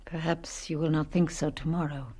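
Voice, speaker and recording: An actress playing a composed, educated woman in a radio play, speaking in a measured, reflective way. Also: deep voice, flat delivery, dark tone. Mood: gentle, reserved. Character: composed, educated woman narrating, inhabiting a poor daughter. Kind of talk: radio play narration and dialogue.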